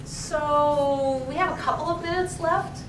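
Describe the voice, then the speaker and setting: A woman professor talks through audience questions in a thoughtful way, with many fillers. She is picked up from across an echoey room, with steady noise behind her. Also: high voice, unhurried. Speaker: professor and co-editor. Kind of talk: library talk, answering questions. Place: library event room. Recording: echoey room, distant mic, noisy.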